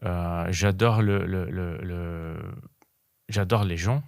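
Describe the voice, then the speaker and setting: A very low-pitched man speaks French as a guest on a podcast. He talks in a halting way, repeating words as he looks for the right ones. His voice sounds close and dry. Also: fairly even intonation, a little mellow, clear, resonant tone, no room sound. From Brazil. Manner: halting, repeats words while searching for them. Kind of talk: casual interview conversation.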